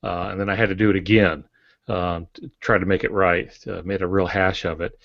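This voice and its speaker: A man co-hosting a writing podcast as a published author, telling stories in a relaxed way with many pauses. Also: sits low, creaky, dry recording, dull audio. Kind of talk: casual writing podcast chat.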